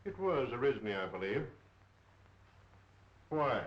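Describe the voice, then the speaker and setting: A man playing a stiff, guarded suspect answering police in a formal, deliberate way in a 1930s film. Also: mid-range voice, very animated, close to an everyday voice. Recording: echoey, muffled, hissy 1930s film soundtrack.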